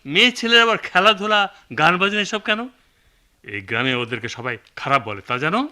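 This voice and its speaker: A man's voice: high voice, sing-song, fairly quick, pushed and bright, clean tone, full character voice. A man acting a stern, old-fashioned, middle-aged village father in a radio drama, scolding and complaining with a Bangladeshi accent. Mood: irritated.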